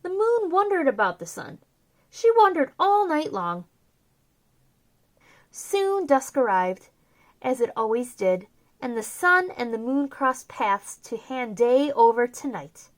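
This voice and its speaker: Very high-pitched, animated woman storyteller. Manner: theatrical, drawn-out emphasis on key words. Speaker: children's storyteller, host. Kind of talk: expressive picture-book read-aloud.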